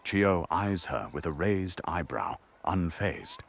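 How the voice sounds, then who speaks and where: A man with a gravelly, husky voice giving dramatic scripted narration for an audio drama. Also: sits low, animated, takes it slowly, slight background noise.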